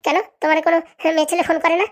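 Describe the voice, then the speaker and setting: Shrill, rapid-fire nagging cartoon wife voiced by a woman. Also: monotone. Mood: accusing, suspicious. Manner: scolding, accusatory, rapid-fire haranguing. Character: bossy, nagging, domineering wife of a village politician. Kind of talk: comic cartoon voice acting.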